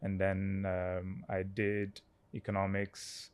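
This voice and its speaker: Ghanaian man, 30s, low-pitched founder guest, reflective and conversational. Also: completely flat delivery, slow pace, clean tone. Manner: thoughtful, with fillers and restarts. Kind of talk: casual interview chat.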